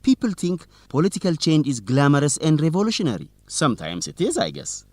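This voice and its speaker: An actor playing an earnest, idealistic young student journalist in a radio drama, speaking with conviction. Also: male voice, a little high, big pitch swings, fairly quick, no echo. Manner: earnest, impassioned.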